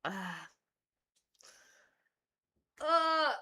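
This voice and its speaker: A woman chatting casually as she streams a game, informal and reactive, thinking out loud. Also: high voice, big pitch swings, extremely slow. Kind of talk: casual live gameplay chat. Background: game music.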